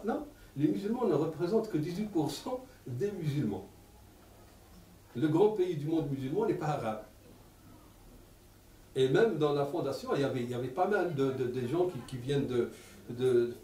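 A man in his sixties with an Arabic accent, giving an animated public talk with many repeated words. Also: on the high side. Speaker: lecturer. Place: lecture room. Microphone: table stand mic. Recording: echoey room, mic at a distance.

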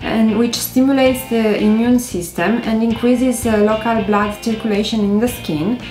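A woman in her thirties from Romania, speaking English with a Romanian accent, gently hosting a beauty tutorial to camera with frequent hesitations. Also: on the high side, steady tone, moderate pace, roomy sound, soft background music, low-quality recording. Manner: gentle, careful delivery with many hesitations. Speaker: beauty vlogger. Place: indoors by a stone wall.

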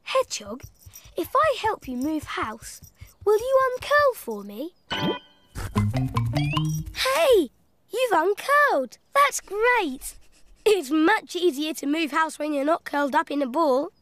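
Cheerful, very high-pitched young cartoon cat. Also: big pitch swings, slow, long pauses, no room sound, heavily acted. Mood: delighted. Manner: bright, wondering, gently encouraging, speaks to small children. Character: cheerful, curious, kind young cat, playful problem-solver. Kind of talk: preschool cartoon voice acting. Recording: music behind the voice.

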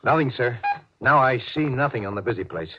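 A man's voice: mid-range voice, expressive, brisk, natural voice. Guy doing an anxious spaceship crewman reporting to his captain, clipped, muffled noisy 50s radio. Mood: uneasy. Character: anxious, deferential male spaceship officer. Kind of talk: 1950s science fiction radio drama acting. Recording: dull, noisy 1950s radio recording.

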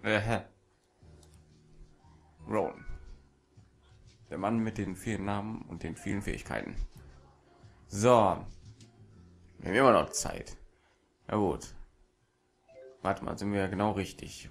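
A man's voice: lowish voice, creaky. A German man giving casual commentary while playing a video game. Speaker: let's player.

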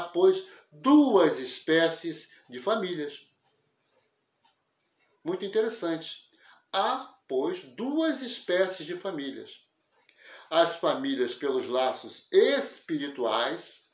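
Older man, spiritist lecture, slow deliberate delivery, slightly high voice, echoey room, earphone mic. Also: animated. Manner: measured, reflective, explanatory. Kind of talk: religious lecture. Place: small room at home, indoors. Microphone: wired earphone mic on a video call.

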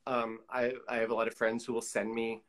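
A man's voice: mid-range voice, completely flat delivery, a little fast, fairly bright tone. Conversational man chatting as interview guest. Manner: conversational, frequent "you know" asides. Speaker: interview guest, collage artist. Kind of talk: casual interview chat. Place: small indoor room with pinned pictures, video call.

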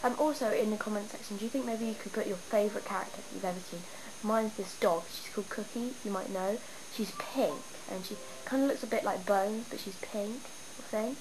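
A young woman with a stuffy cold, chatting and rambling excitedly as she shows off her game town at home. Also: mid-range voice, a little fast, few pauses. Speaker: gamer showing her town. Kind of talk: casual game walkthrough. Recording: noisy home camera recording. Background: steady background noise.

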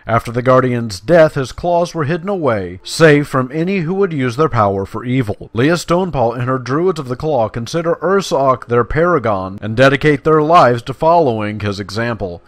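A man's voice: medium pitch, animated, normal speed, few pauses, dry recording. A man giving casual, playful gameplay commentary in a chatty, slangy way, sometimes reading quest text aloud. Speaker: video game player and commentator.

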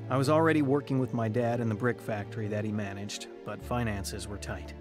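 A man's voice: on the low side, fairly even intonation, brisk, natural voice. An actor playing a troubled, searching young man who tells his own story earnestly in a radio drama. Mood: somber, reflective. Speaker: narrator and lead actor. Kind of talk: dramatized first-person radio testimony. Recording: music behind the voice.

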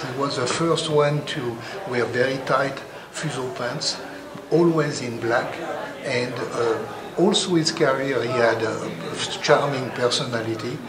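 An older man talks earnestly about his work, pausing and restarting as he finds the right words. He is recorded in a large carpeted hotel hall with a roomy echo. Loud crowd chatter fills the space behind him. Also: medium pitch. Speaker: brand representative. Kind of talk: trade show interview.

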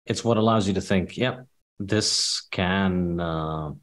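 A man in his forties from Palestine, an economist answering interview questions in a measured, matter-of-fact way, with frequent ums. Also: sits low, fairly bright tone. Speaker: guest, economist and author. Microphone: studio mic on video call.

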